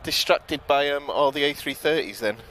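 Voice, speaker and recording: A man with a British accent giving relaxed live commentary outdoors at an airport, chatting to viewers with frequent ums and self-corrections. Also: on the high side, moderate pace, a little hiss or hum, poor audio. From United Kingdom. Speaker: live plane-spotting commentator. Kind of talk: live-stream commentary, reading out viewer chat.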